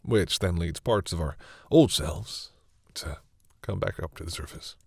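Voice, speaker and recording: A man playing a centuries-old vampire in an intimate audio roleplay, speaking formally but haltingly in a husky, breathy voice. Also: sits low, no room sound, clean studio sound, heavily acted. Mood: earnest, explaining. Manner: hesitant, halting, formal phrasing. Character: centuries-old male vampire, courteous, hesitant, commanding with servants.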